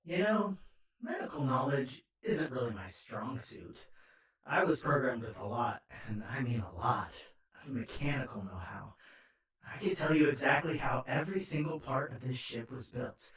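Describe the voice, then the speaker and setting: A man's voice: mid-range voice, normal speed. A man playing a smug, murderous artificial intelligence in an audio drama, chatty and taunting, with an echoing machine treatment. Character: smug rogue station AI, gleefully murderous, theatrical. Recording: echoing, distant-sounding.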